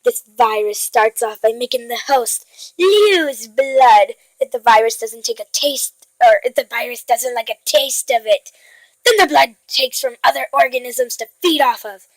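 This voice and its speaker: A woman voicing a storybook doctor who explains gravely, in an affected accent. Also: on the high side, measured pace, no echo, rough recording. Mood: explaining.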